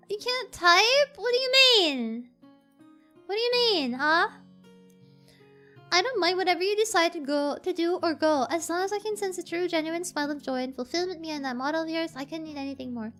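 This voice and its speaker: A soft-voiced woman streamer chats with her viewers in a very high, sharp, bright voice. She giggles and repeats herself as she reads their messages. Faint music plays behind her. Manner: giggly, chatty, stumbles and repeats words. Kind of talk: casual chat, reading viewer messages.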